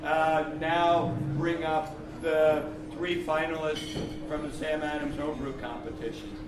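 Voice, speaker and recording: Halting man in an echoey hall. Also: on the high side, level tone. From New England. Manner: halting, with frequent pauses. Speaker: competition host announcing winners. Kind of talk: informal speech at a live event. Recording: echoey room, mic far off, faint noise.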